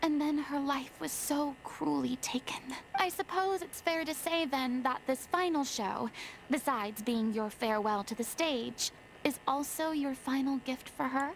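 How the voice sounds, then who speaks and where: An actress playing a proud, theatrical young former stage star in a video game, speaking in eloquent, dramatic monologues. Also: female voice, high voice, measured pace, creaky, slight background noise, low-quality recording. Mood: grand.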